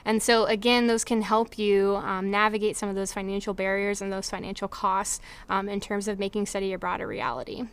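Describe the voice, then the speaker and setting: A woman's voice: mid-range voice, fairly even intonation, a little fast, hardly any pauses, smooth. A young woman advising students on study abroad, speaking earnestly with frequent filler words. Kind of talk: informational presentation.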